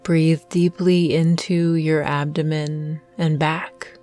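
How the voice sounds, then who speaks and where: A woman guiding a meditation in a gentle, soothing, measured way with long pauses. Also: sits low, flat delivery. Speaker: meditation guide. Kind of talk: guided meditation narration. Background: soft meditation music.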